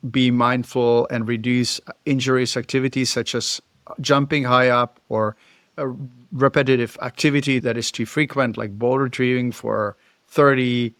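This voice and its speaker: A man's voice: mid-range voice, a bit bright, no echo, studio quality. A middle-aged male veterinarian with a Czech accent, explaining in a thoughtful, informal way to camera. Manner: thoughtful, explanatory, occasional chuckles. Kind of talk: casual educational talk to camera.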